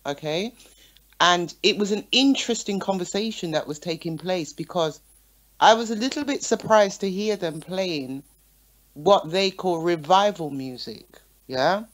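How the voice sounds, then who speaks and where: A woman's voice: lowish voice, unhurried, poor audio. A woman guest and show promoter in a casual panel discussion, persistently cutting in and making her point conversationally.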